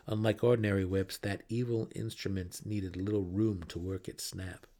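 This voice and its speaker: A man reading a fantasy audiobook as a dramatic narrator, with theatrical, deliberate emphasis. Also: sits low, level tone, dark tone.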